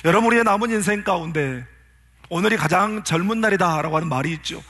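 A pastor delivers a sermon in a notably high voice for a man. He speaks earnestly and keeps turning to his listeners with rhetorical questions. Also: animated, fast talker, a bit bright.

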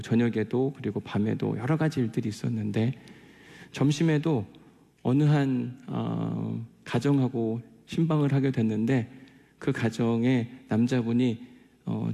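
A man's voice: medium pitch, creaky, dark tone, breathy edge. A male pastor giving a sermon in an earnest, conversational way, telling personal stories and asking rhetorical questions. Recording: long hall reverb.

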